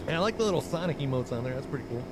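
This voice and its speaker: A man's voice: medium pitch, lively intonation, rapid-fire, heavy background noise. A man hosting a live stream while driving in a truck game, chatting casually with blunt talk and lots of fillers. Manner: rambling, filler-heavy, blunt.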